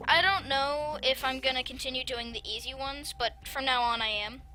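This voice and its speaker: Brief: high, chatty let's-play host, trails off and restarts a lot, game music under it. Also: fairly even intonation, normal speed. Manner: rambling, hesitant, many restarts. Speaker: gameplay commentator. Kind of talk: casual let's-play chat.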